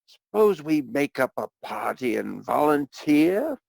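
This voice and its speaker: A man's voice: on the high side, sing-song, a little slow, vocal fry, a little mellow, no echo, studio quality, exaggerated voice. A man playing a callous, jovial businessman in a radio play, with a flippant drawl. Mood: flippant, amused.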